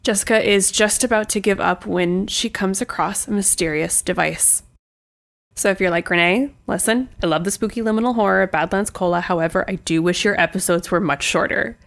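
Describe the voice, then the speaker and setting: A woman's voice: medium pitch, a little fast, gravelly, a bit bright. A woman speaking as a podcast host, giving a chatty, playful, informal recommendation straight to listeners.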